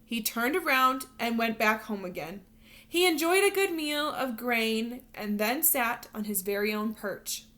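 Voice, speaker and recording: A woman teacher reading a picture book aloud to young children, expressive and instructional, pausing to put questions to them. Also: a little high. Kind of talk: animated read-aloud storytelling with questions to young learners.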